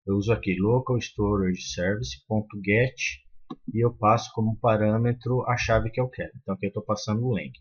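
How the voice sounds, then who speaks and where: A man's voice: fairly low pitch, fairly even intonation, a little fast, breathy edge. A man explaining code step by step in a screen-recorded tutorial, with many fillers and restarts. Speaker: programming tutor. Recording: muffled lo-fi sound.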